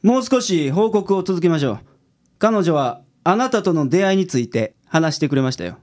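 Woman playing a shrewd male detective, lively intonation. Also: on the low side, moderate pace, lightly acted. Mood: calm, probing. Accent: Kansai. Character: shrewd, laid-back private detective boss, a man. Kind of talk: scripted radio drama acting.

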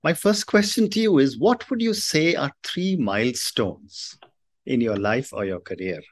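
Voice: mid-range voice, lively intonation, unhurried. An interview host who murmurs agreement often and asks the guest questions. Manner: frequent murmured agreement, encouraging. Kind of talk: casual interview.

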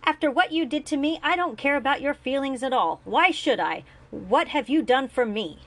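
A bitter, worn-out young man, as a woman reading the story puts him on, sardonic and quick to flare into anger. His voice rises in pitch and volume when he is hurt, and every line is sharp and accusing. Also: lively intonation, exaggerated voice. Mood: angry.

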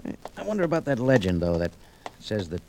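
An actor playing a tough, wry adventurer in an old radio detective drama, with punchy hardboiled delivery. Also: male voice, on the low side, big pitch swings, fast talker. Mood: wry, matter-of-fact. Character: tough, wry male adventurer narrating his exploits. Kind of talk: 1940s-50s radio detective drama acting. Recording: slightly noisy old radio recording.

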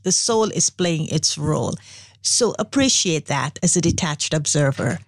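A middle-aged woman from Guyana, a spiritual teacher, giving a calm, measured interview answer. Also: low-pitched, dry recording. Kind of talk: unhurried interview talk.

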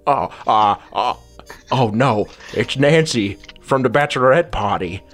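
A man's voice: medium pitch, lively intonation, unhurried, a bit bright, faint music behind. A man playing a nervous, stuttering, evasive detective in an improvised radio drama parody. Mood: flustered. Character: flustered, stammering, shady male private detective.

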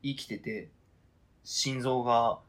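A man acting a hesitant, flustered partner in an amateur radio drama, protesting in a halting, conversational way. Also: mid-range voice, fairly even intonation, a bit bright. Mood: faltering protest.

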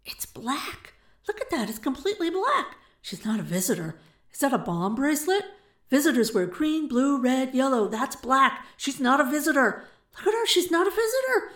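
A woman's voice: medium pitch, big pitch swings, a little fast, a little mellow, natural voice. A woman voicing alarmed onlookers in a story, exclaiming urgently. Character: alarmed, gasping onlookers in a tavern crowd. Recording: roomy echo.

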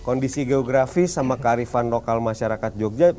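A man hosting a podcast, asking questions in a relaxed way and ending them with tag phrases. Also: mid-range voice, fairly quick. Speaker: podcast host. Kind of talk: interviewing.

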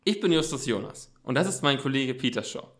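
A man's voice: mid-range voice, animated, fairly quick, close to an everyday voice. A man playing a self-assured teenage boy detective in an amateur audio drama, speaking in a formal, wordy, explanatory way.

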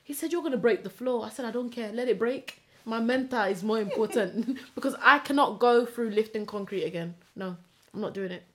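A woman co-hosting a podcast, chatting in a relaxed, easygoing way with lots of agreeing yeahs. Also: on the high side, brisk. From London, UK. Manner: relaxed, easygoing, fills in with yeah and mm-hmm. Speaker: podcast co-host, personal trainer. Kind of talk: casual chat.

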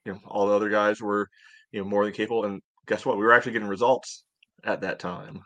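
A man co-hosting a sports podcast, chatting casually and thinking aloud in a halting, conversational way. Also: lowish voice, little pitch movement, pushed and bright. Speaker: podcast host.

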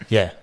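A man, teasing and laughing as a podcast guest. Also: pushed and bright. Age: 30s. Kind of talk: casual podcast chat.

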